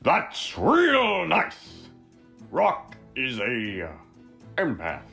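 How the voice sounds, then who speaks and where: A woman's voice: rumbling low, huge pitch swings, very slow, creaky, fairly bright tone, faint music behind, exaggerated voice. A woman playing a casual, easygoing college student at a tabletop game in a comedic audio drama. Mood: laid-back.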